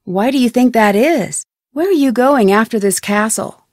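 Video game sleuth: young woman, polite, inquisitive, lightly high voice, dry studio sound. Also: animated, moderate pace, darkish tone, dry recording. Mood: curious. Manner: inquisitive, polite, clearly enunciated. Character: curious, polite young woman amateur detective. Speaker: game protagonist. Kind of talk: scripted video game dialogue.

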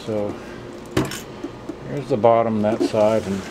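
Slow, rambling man on a noisy camcorder. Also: lowish voice, fairly even intonation. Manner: rambling, muttering to himself in short fragments. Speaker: vlogger showing vintage electronics. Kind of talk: casual off-the-cuff product demonstration. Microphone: handheld camcorder's built-in microphone.

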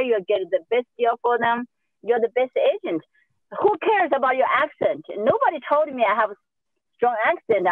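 A woman's voice: a little high, no echo. A woman with a Sichuan Chinese accent, a native Sichuanese speaker, giving emphatic motivational advice as a real estate agent on a group call. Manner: emphatic, self-affirming. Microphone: video call. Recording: narrow-band phone or video call audio.

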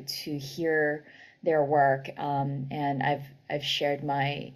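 Low, very creaky young woman. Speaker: actor and narrator on a panel. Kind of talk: casual, sincere thanks. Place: indoors on a video call. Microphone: video call.

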